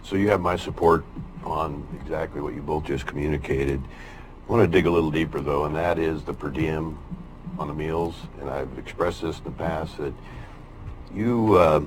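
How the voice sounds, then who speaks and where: A man serving as vice chair of a county board, deliberately building a point during discussion. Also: extremely low pitch, noisy background. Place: public meeting room.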